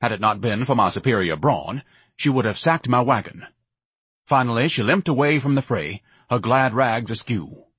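A man's voice: medium pitch, lively intonation, normal speed, fairly bright tone, breathy edge, no room sound, voice pushed up. A male narrator plays a lazy, pompous grown son in a comic audiobook. He declaims in grandiloquent, indignant style, haughty and theatrical, ready to bellow at any slight. The recording is close and dry.

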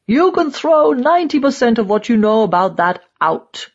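An old East German propagandist played by a woman reading an audiobook, stubborn and quick to anger. He speaks with emphatic scorn and sarcasm in a husky voice. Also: fairly low pitch, lively intonation, darkish tone, dull audio, heavily acted. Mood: curt, irritated. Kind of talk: dramatised audiobook dialogue.

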